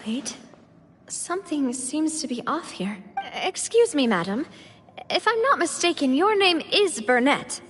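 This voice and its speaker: High-voiced, courteous young lady leader. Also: a little slow, slight background noise, exaggerated voice. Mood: puzzled. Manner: polite, expressive, questioning. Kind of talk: scripted video game voice acting. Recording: long hall reverb, music behind.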